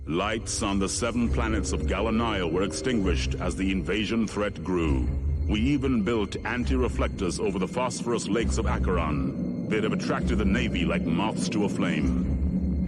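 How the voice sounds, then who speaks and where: An actor playing a solemn veteran rebel pilot, narrating a video game cutscene in a grave, deliberate, portentous way. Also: male voice, lowish voice, heavy background noise. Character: solemn male war veteran pilot of rebel colonial fleet. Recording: voice under loud background music. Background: loud music.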